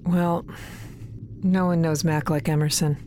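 A woman's voice: low voice, level tone, a little slow, dark tone, a little hiss or hum. A woman playing a withdrawn, weary scientist on an undersea base, dry, terse and guarded, in a naturalistic sci-fi audio drama. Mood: skeptical, reassuring.

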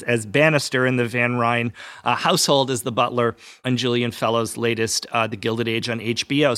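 A man hosting an interview podcast, speaking in polite, measured presenter phrases. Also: mid-range voice, fairly bright tone, no room sound, studio quality. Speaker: podcast host. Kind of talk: interviewing with prepared introductions.

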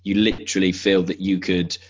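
A man's voice: low voice, flat delivery, a little fast, slightly rough, highs cut off. A man talking casually as a podcast guest, enthusiastic and conversational, restarting his sentences as he goes.

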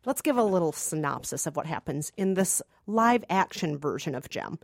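A woman's voice: deep voice, animated, moderate pace, creaky. A woman hosting a film review podcast, telling things in a dramatic, emphatic way. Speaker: podcast host. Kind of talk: lively film review chat.

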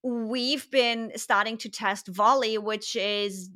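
A woman's voice: fairly high pitch, steady tone, bright, forceful tone. A woman with a German accent hosting a podcast interview, chatting casually and asking curious questions. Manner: chatty, curious, quick asides. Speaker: podcast host.

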